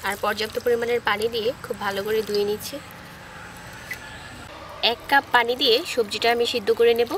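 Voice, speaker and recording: Brisk woman explaining a recipe. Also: on the high side, a little hiss or hum, rough recording. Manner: calm, explanatory, gives tips. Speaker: cooking channel host. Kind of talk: step-by-step recipe narration. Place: outdoors on grass, cooking on a stove.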